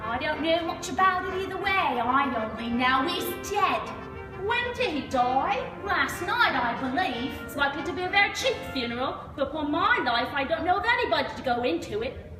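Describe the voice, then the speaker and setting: Mocking female gossip, animated pitch, exclamatory, radio drama, roomy echo, faint music. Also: normal speed. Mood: callous amusement. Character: callous, gossiping woman haggling over a dead man's things. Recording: distant, echoey, faint music behind.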